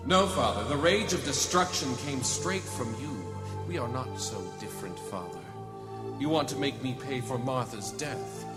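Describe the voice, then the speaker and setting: An actor playing a taunting, cruel high priest in a fantasy audio drama, with a mocking, grandiose, sinister delivery. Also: male voice, animated, normal speed, loud music behind the voice, close to an everyday voice. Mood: smug taunting.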